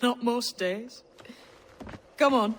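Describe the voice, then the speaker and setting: An actress playing a scrappy young pirate who passes as a lad, blunt and defiant, in a video game. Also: female voice, fairly high pitch, slow pace, a little hiss or hum. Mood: focused. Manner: blunt, streetwise. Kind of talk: cinematic video game acting.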